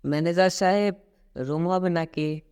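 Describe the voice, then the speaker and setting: A man's voice: on the high side, unhurried, a little mellow, clean tone, no echo, clean studio sound, close to an everyday voice. A man playing an easygoing young traveller who shrugs off worries in a ghost-story cartoon. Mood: unbothered. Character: easygoing young male traveller, unbothered by spooky rooms.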